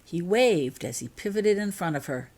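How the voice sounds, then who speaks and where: Woman, lowish pitch, scripted storytelling, author reading her fiction. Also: expressive, normal speed, darkish tone.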